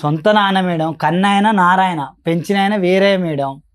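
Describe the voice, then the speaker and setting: A man's voice: high-pitched, normal speed, a crowd in the background. A man giving an unscripted personal account as a guest, deferential and repetitive as he explains. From Telugu-speaking south India. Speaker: guest telling his family grievance.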